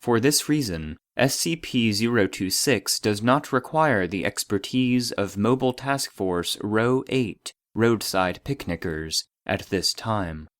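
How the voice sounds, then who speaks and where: A man voicing an impersonal database, reading formal classified reports in a measured, clinical manner. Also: fairly low pitch, animated, no echo, studio quality. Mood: clinical, detached. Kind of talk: scripted narration of a formal report.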